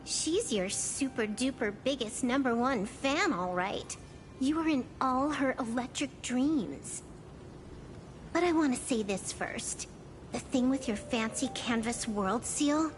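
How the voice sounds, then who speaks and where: High-pitched blunt little girl. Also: lively intonation, slow, a little hiss or hum. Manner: casual, blunt, slangy. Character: blunt, stubborn little girl, scrappy and informal. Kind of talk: video game voice acting.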